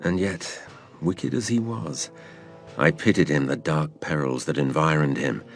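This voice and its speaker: An actor playing a grave, reflective narrator who looks back on an adventure in measured, literary phrasing. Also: male voice, very deep voice, creaky, soft background music, full character voice. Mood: sombre. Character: grave, reflective adult male storyteller looking back. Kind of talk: scripted drama narration.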